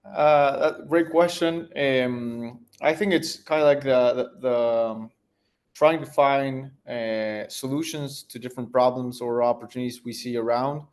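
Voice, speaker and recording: A man's voice: medium pitch, steady tone, unhurried, darkish tone. A man, answering interview questions as a tech founder in a thoughtful way, searching for words as he goes. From Argentina. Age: 30s.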